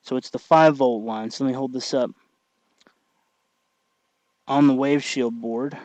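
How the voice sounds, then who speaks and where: Guy doing a laid-back tutorial, kinda halting as he works, dry close sound, average pitch. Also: steady tone, darkish tone, dry recording, poor audio. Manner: halting, thinking aloud while working. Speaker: tutorial host. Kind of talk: casual hands-on tutorial narration.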